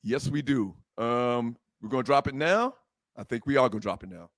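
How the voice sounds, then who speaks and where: A man co-hosting a casual sports and current events talk show, speaking in an animated, blunt way and swearing freely. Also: medium pitch, moderate pace, no echo.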